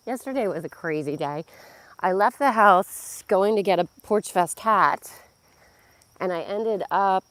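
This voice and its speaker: Hoarse woman rambling on a nature walk. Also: fairly low pitch, no room sound. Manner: rambling, many fillers and laughs. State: hoarse, losing her voice. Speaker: vlogger and yoga teacher. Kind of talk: casual walking vlog. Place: outdoors on a nature walk by a pond. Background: crickets and insects outdoors.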